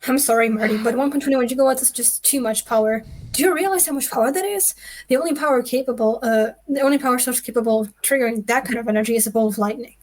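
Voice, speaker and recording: A woman playing an excitable, eccentric old male inventor in a theatrical script read. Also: fairly high pitch, fast talker, close to an everyday voice, rough recording. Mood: earnest. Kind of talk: table read of a film script.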